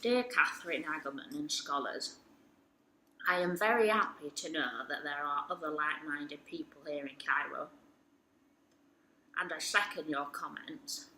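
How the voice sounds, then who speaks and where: A woman's voice: deep voice, a little slow, bright, forceful tone, full character voice. A woman playing a prim, righteous scholar, formal and solemn, in a dramatic reading. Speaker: performer in a dramatic reading of emails. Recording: echoey room, faint background noise.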